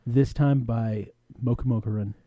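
A man's voice: medium pitch, steady tone, normal speed, no room sound, phone-quality sound. A man co-hosting a podcast, casually recapping a show with slangy, playful storytelling and bits of acted-out dialogue. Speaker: podcast co-host.

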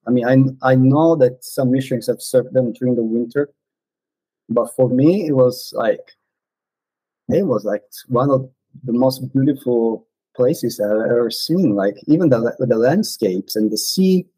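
A man in his forties, a non-native English speaker, casually reminiscing in an interview with frequent pauses and fillers. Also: mid-range voice, dark tone, husky, no echo, poor audio. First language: not English. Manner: hesitant, many fillers and restarts. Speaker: podcast guest, former missionary.

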